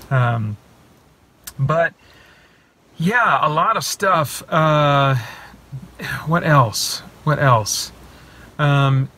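A man's voice: medium pitch, a little hiss or hum. A man in his thirties giving a casual, rambling film review from inside a parked car, recorded on a phone held at arm's length. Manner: animated, rambling with many fillers and restarts. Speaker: vlogger reviewing films.

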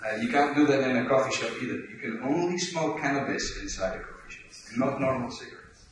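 A Dutch man in his forties with a Dutch accent, giving a relaxed, joking conference talk in a large lecture hall. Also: mid-range voice, quick pace, a little hiss or hum. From Netherlands. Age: 40s. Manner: joking, self-deprecating, chuckles often. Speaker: conference speaker. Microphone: room mic, speaker walking around stage. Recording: echoey hall, voice from far off.

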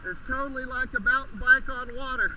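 An exuberant middle-aged man in casual, excited chat and cheering on an outdoor river trip, given to whooping and shouting. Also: extremely high pitch, a little hiss or hum. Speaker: father filming a family kayaking trip. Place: outdoors on a whitewater river in a kayak. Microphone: action camera worn or mounted on the kayaker. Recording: muffled action camera, loud rushing water, distant.

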